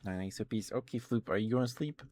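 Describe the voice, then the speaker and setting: A man chatting casually in an ASMR style as a live streamer, in a halting, gentle, rambling way. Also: fairly low pitch.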